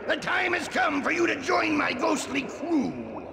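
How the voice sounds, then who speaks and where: Gravelly, shrieking ghost pirate captain. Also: male voice, extremely high pitch, full character voice. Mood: gloating menace. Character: blustering, menacing, washed-up ghost pirate captain. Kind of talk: cartoon villain video game acting. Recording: loud background noise.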